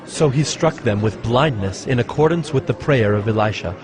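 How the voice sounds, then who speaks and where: A man narrating scripture as a scripted story in a solemn, measured manner. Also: medium pitch, a crowd in the background. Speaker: Bible narrator.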